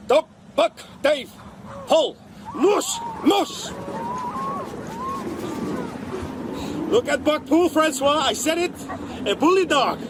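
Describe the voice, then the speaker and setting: An actor playing a brisk, hard-driving dog-sled driver in a radio drama, speaking in short, commanding phrases. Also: male voice, very high voice, heavily acted. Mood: brisk, rousing. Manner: barking short commands and calling out names. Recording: loud outdoor-like background noise.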